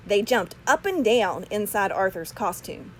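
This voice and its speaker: A woman narrates a children's storybook at a slow pace. Her pitch moves expressively from phrase to phrase, and there is noise behind her voice. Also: sing-song. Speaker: read-aloud narrator.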